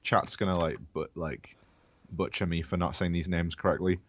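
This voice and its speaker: A man's voice: low-pitched, steady tone, normal speed, highs cut off. A man giving casual commentary as an esports co-caster.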